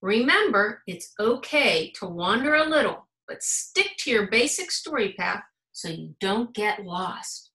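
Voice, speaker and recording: A woman's voice: medium pitch, sing-song, measured pace, hardly any pauses, pushed and bright, far from the mic, heavily acted. A woman voicing a cheerful, encouraging pencil schoolteacher who gives kindly instruction in a storybook. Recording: roomy, echoing sound.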